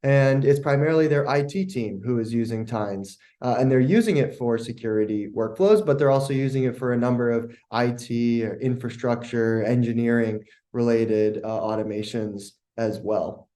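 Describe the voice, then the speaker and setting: A man's voice: medium pitch, moderate pace, few pauses, mellow. A man giving a product case-study presentation in an explanatory, conversational way.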